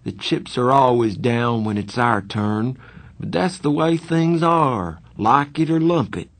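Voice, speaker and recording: A man voicing a tough, protective older teenage boy in an audiobook, talking slangily and forcefully. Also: on the low side, takes it slowly. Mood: good-natured.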